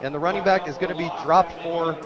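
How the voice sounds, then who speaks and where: An American man with a high voice works as a football play-by-play announcer on radio. He calls the game live from a stadium booth. The crowd is clearly audible behind him. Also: steady tone, fast, dull audio. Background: crowd at an outdoor stadium.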